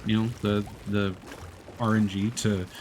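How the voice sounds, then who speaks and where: A man's voice: fairly low pitch, level tone, a little slow. Guy streaming a game, rambly and hesitant, slight hiss behind him. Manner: rambling, hesitant, many fillers and restarts. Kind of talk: casual gameplay chat. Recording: faint background noise.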